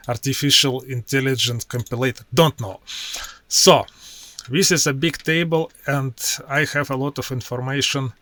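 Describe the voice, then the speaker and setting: A man with a Russian accent, a native Russian speaker, walking through his software workflow in a screen-share tutorial in slow, halting English with many pauses. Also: medium pitch, no room sound. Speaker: IT professional demoing his workflow.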